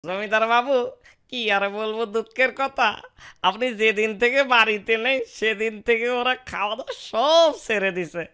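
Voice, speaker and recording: A man's voice: high-pitched, moderate pace, bright, forceful tone, clean tone. A man voicing a sly, greedy servant and petty thief in a comic cartoon, theatrical and wheedling. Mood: wheedling sorrow. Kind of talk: exaggerated comic cartoon dubbing.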